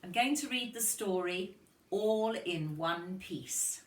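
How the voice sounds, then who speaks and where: A woman's voice: on the low side, slow. A woman reading a children's storybook aloud expressively, in the manner of a kindergarten teacher. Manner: expressive, addressing young children. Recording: roomy echo, distant from microphone.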